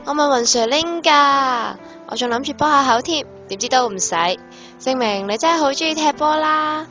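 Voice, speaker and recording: A cheerful, caring teenage girl played by a woman speaks Hong Kong Cantonese in a radio play. Her manner is breezy and friendly. Music is clearly heard beneath her. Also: high voice, normal speed, sharp tone. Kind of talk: Hobby radio drama acting. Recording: prominent music under the dialogue.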